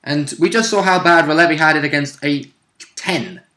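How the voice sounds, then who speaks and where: A man's voice: on the high side, moderate pace, pushed and bright. A man casually discussing a tabletop game as one of its players. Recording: echoey room sound.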